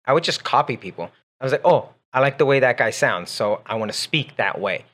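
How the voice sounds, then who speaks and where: A man whose first language is Spanish co-hosts a casual chat on learning English. He talks in an easygoing way and reads listener questions aloud. His voice sits at a middle pitch. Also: animated, a little fast, a bit bright. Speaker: co-host, English teacher.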